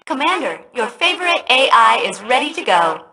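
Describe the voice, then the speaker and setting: Perky, high, bright AI. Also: female voice, big pitch swings, moderate pace, slight background noise. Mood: cheerful, brisk. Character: perky, polite female spaceship AI. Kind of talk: scripted video game voice acting.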